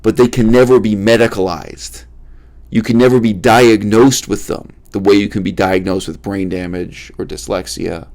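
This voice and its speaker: A man's voice: lowish voice, steady tone, moderate pace, darkish tone. A man hosting his own channel, talking straight to his viewers in an unscripted monologue, stressing points by repeating words and asking rhetorical questions. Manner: addresses viewers directly, asks rhetorical questions. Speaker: channel host. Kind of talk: unscripted philosophical monologue to camera.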